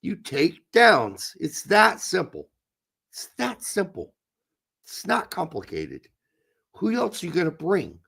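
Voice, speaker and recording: A man co-hosting a casual podcast chat, hoarse with his voice going, blunt and opinionated with some swearing. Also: sits high, wildly swooping pitch, takes it slowly, a bit bright. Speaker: co-host.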